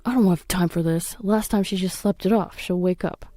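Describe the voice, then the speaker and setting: Selfish, irritated husband, read by a woman, sneering and curt, mellow tone, for a domestic audio drama. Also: on the low side, moderate pace, no echo, clean studio sound, lightly acted.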